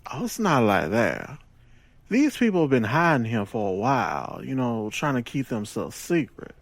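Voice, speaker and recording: Theatrical, animated gangster boss. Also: male voice, mid-range voice, no room sound. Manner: expansive, deliberate, self-assured persuasion. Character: smooth, theatrical male gangster boss with a sly wit. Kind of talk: audio drama acting.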